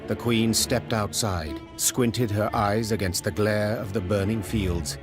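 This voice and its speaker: A man's voice: lowish voice, steady tone, measured pace, natural voice. A man narrating a fantasy war tale in a video game as a grave storyteller, scripted and dramatic. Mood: measured, grave. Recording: music behind the voice.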